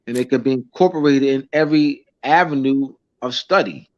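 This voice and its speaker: A man, a schoolteacher, talking in a casual interview, halting with many restarts and fillers. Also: mid-range voice. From United States. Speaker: schoolteacher guest. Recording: dull lo-fi recording.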